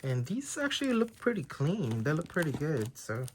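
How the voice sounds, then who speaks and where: A man's voice: a little high, wildly swooping pitch, moderate pace, a little hiss or hum. A man whose first language is Tagalog talks casually through a pile of trading cards, often unsure of the details. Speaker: trading card collector. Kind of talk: casual show-and-tell of a card haul.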